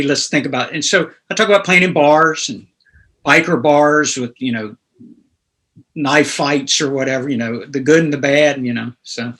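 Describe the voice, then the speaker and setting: A man's voice: medium pitch, fairly bright tone. A man in his sixties from North Carolina, a guest telling rambling anecdotes in a casual interview. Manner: rambling, anecdotal, laughs through stories. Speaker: guest guitarist.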